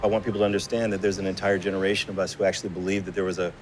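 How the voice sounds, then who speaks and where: A man's voice: sits low, level tone, rapid-fire, faint music behind. A middle-aged man in a casual documentary conversation, speaking earnestly and a little hesitantly. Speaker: documentary maker conducting interview.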